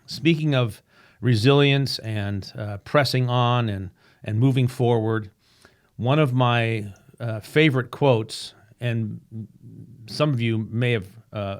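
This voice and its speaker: A man hosting his own podcast, talking earnestly and reflectively, with frequent restarts and a slightly rough voice. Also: mid-range voice. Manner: earnest, halting, with many restarts and fillers. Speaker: podcast host. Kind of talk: reflective solo podcast monologue. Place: home room with a painting behind him.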